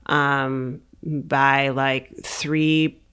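Middle-aged woman, smooth clear voice, casual interview guest, hesitant recollection. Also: very deep voice, flat delivery, very slow, a bit bright. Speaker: interview guest, small business owner.

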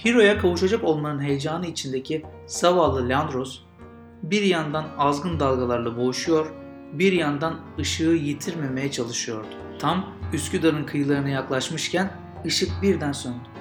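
A man's voice: on the high side, moderate pace. A man, hosting and telling stories in an informal, narrated style. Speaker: podcast host and storyteller. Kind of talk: casual intro and narrated legend storytelling. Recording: roomy echo with guitar music.

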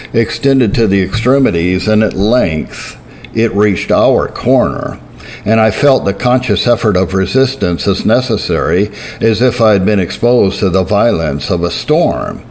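Male reader, low-pitched, solemn and measured, faint hiss under the voice. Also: expressive, darkish tone. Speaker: narrator reading historical religious writing. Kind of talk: measured scripted reading.

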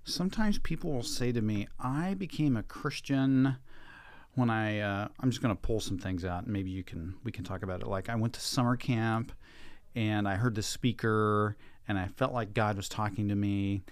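Clear-voiced pastor hosting a podcast. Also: male voice, lowish voice, moderate pace, dry recording. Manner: informal, conversational. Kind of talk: conversational podcast interview.

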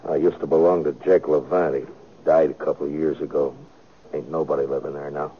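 Deep-voiced, anguished country father. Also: level tone. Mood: subdued, wary. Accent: rural American. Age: middle-aged. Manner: halting, pleading, folksy. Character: anguished, protective old-West father, plain country man. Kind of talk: 1950s radio western acting. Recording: dull, noisy old radio recording.

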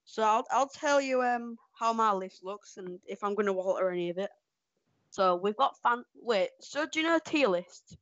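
A woman co-hosting a casual podcast, excitable and quick to laugh. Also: medium pitch, dry recording, dull audio. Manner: excitable, laughs often, animated outbursts. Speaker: podcast co-host. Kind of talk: casual chat ranking wrestlers.

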